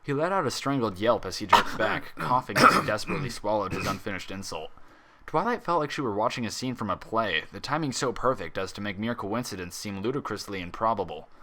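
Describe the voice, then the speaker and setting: A man's voice: on the low side, expressive, a little fast, bright, forceful tone. A man reading a story aloud as narrator, in a scripted, dramatic style. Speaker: fan fiction reader and narrator.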